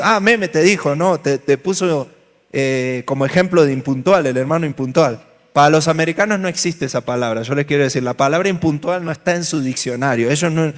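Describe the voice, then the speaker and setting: A man with an Argentine Spanish accent, teaching a workshop to a church audience in a joking, storytelling way and asking them questions. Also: on the high side. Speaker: pastor leading a church workshop. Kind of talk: lively workshop talk to a congregation. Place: large hall with an audience. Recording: hall reverb.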